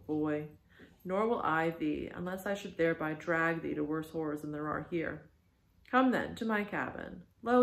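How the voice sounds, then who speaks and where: A woman's voice: sits low, gravelly. A woman voicing a brooding, half-mad old male whaling captain with archaic, declamatory speech. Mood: impassioned, tender. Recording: echoey room.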